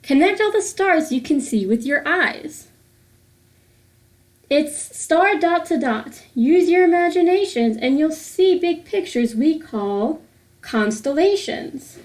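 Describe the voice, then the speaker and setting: A woman reading a rhyming picture book aloud for children in a deliberate, sing-song way. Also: high-pitched, animated, darkish tone. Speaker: host of a children's read-aloud series.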